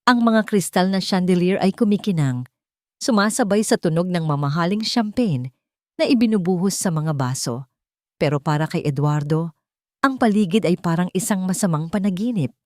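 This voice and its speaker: A woman's voice: lowish voice, lively intonation, normal speed, a little mellow, no echo, clean studio sound. A woman with a Filipino accent narrating a dramatic scripted story. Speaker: narrator of love stories.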